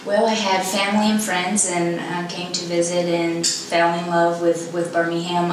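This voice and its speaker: A woman in her thirties with a Brazilian accent and Portuguese as her first language talks conversationally. Her voice is slightly low and evenly pitched, picked up at a distance in an interview setting. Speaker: insurance agent. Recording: echoey room, distant mic, clear background noise.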